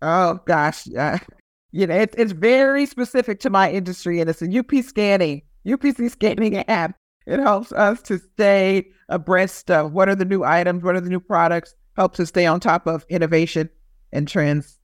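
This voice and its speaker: A woman's voice: on the low side, normal speed, dry recording. Woman chatting as podcast guest, dry sound. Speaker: podcast guest, category management professional. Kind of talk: casual panel chat.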